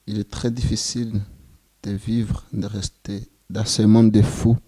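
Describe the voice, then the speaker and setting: A Rwandan man in his twenties giving solemn, measured testimony as a genocide survivor. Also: lowish voice, flat delivery, warm tone, slightly rough, no room sound. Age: 20s. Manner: slow, grave, measured phrasing.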